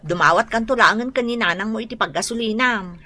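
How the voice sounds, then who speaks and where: High-voiced, grumbling Ilocano wife. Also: female voice, fast, bright, forceful tone. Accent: Ilocano Filipino. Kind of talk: Ilocano radio drama acting.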